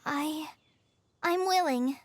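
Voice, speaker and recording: High-voiced, gentle young girl. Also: takes it slowly, a little hiss or hum. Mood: timid, concerned. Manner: hesitant, earnest, polite. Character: gentle young girl, earnest, timid, humble and kind. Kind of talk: dramatic video game voice acting.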